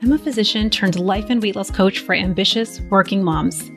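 A woman in her thirties hosting a podcast as a weight loss coach, slightly raspy from a cold, upbeat and encouraging as she speaks straight to her listeners. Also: mid-range voice, normal speed, soft background music. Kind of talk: scripted solo podcast talk.

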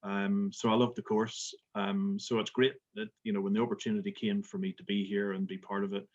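A man's voice: fairly low pitch, level tone, fairly quick, lo-fi sound. A middle-aged man from Belfast with a Belfast accent, a golf resort manager answering interview questions with many fillers and restarts. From Northern Ireland.

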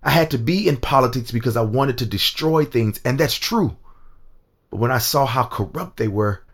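A man's voice: medium pitch, expressive, moderate pace, breathy edge. A man acting in an audio drama as a strong, secretive rebel politician, confiding earnestly in his lover. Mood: grave, confiding.

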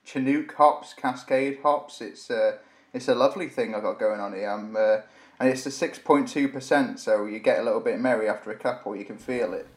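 A man co-hosting a casual podcast. He rambles cheerfully and with enthusiasm, voice pitched in an ordinary middle range. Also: normal speed. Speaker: podcast co-host.

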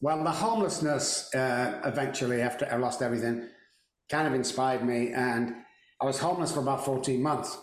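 A man's voice: medium pitch, brisk. Mancunian man telling stories, echoey room. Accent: Mancunian English. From England. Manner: chatty, joking, self-deprecating. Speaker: podcast guest. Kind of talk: casual storytelling interview.

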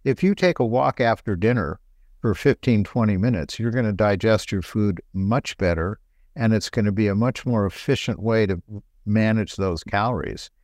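Low-voiced doctor explaining calmly. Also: male voice, normal speed, dry recording, clean studio sound. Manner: explanatory, relaxed, laughs lightly. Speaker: doctor and show host. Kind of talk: casual health talk.

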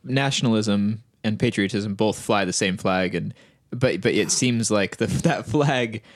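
A man co-hosting a podcast, reasoning aloud in a casual, halting way. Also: fairly low pitch, dry recording, studio quality. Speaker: podcast co-host. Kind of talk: casual discussion.

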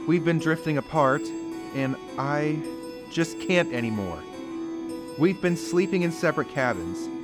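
An actor playing an earnest, wounded husband with emotional delivery in a 1930s-style radio mystery. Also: male voice, fairly high pitch, lively intonation, measured pace. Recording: music behind the voice.

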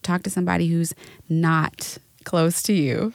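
A woman's voice: on the low side, level tone, unhurried, vocal fry, no room sound, clean studio sound. Reflective woman on a podcast. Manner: reflective, gives gentle advice with many fillers. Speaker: guest. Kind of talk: podcast conversation.

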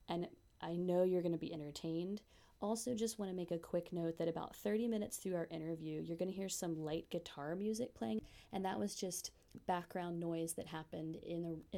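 A woman's voice: on the low side, gravelly, warm tone. A woman hosting a travel podcast interview, eager and chatty as she introduces and questions her guest. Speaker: podcast host. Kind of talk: casual interview chat.

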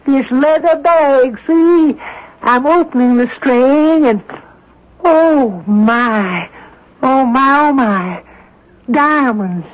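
A very high, slow-spoken voice of a fussy old woman, exclaiming and stretching words. It is radio drama, heard through dull, lo-fi sound. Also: full character voice. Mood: excited, awed. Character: man posing as a fussy, excitable old woman.

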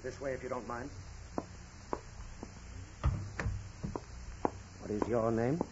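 An actor playing a brusque, officious police commissioner, clipped and interrogating, in a 1940s radio drama. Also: male voice, medium pitch, fairly even intonation, fast, lightly acted. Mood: menacing, officious. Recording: dull, noisy vintage radio.

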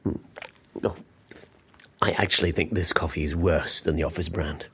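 An actor playing a fussy, wry British office worker in a naturalistic audio drama, dry and complaining. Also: male voice, low voice, steady tone, normal speed, a bit bright, husky, exaggerated voice. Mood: dissatisfied.

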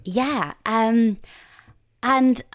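A woman's voice: fairly high pitch, drawn-out words. A woman in her thirties with an Irish accent, chatting casually as an interview guest, with lots of fillers and restarts. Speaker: presenter and author, guest.

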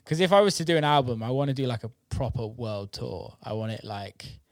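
A man's voice: medium pitch, fairly quick, dry recording, studio quality. A man chatting casually as a music producer, informal and sweary, peppering his talk with "like". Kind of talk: casual chat.

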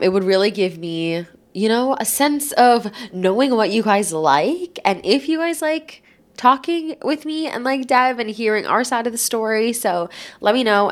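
A woman in her twenties hosting a casual solo podcast, chatty and rambling, filling her talk with "like", with a stuffy nose from a cold. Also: fairly high pitch. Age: 20s. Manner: chatty, rambling, says "like" a lot. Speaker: podcast host.

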